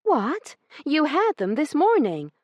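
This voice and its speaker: A woman voicing a prim, sharp-tongued young lady who speaks in a clipped, proper and cutting manner. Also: mid-range voice, very animated, normal speed, no room sound, highs cut off, voice pushed up, natural voice. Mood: indignant.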